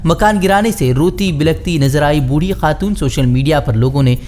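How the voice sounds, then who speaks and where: A man's voice: a little high, normal speed, darkish tone, slight background noise. A man reading scripted speed news headlines as a news presenter. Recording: background music under the voice. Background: news background music.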